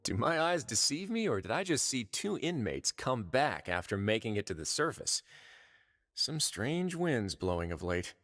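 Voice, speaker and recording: An actor playing a suave, sardonic prison warden in a video game, speaking with wry authority. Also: male voice, medium pitch, big pitch swings, moderate pace, a bit bright, soft background music.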